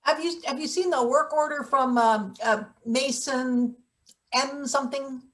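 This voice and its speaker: A woman plays a paranoid, scheming maintenance worker in a satirical stage play. Her voice sits somewhat high. She speaks bluntly and deadpan, treating everything with gruff suspicion. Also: unhurried. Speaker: actor. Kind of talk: live table read of satirical play.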